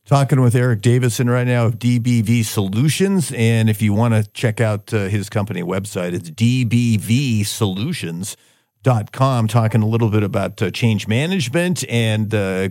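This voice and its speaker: A man's voice: lowish voice, normal speed, a little mellow, no echo, clean studio sound. A man hosting a radio business show, talking conversationally with joking asides.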